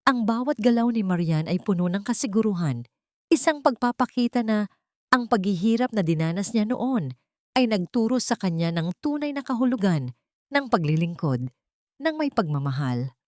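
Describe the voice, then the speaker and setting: A woman storyteller giving a solemn, expressive scripted narration of a dramatic audio story. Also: mid-range voice, moderate pace, no room sound, clean studio sound.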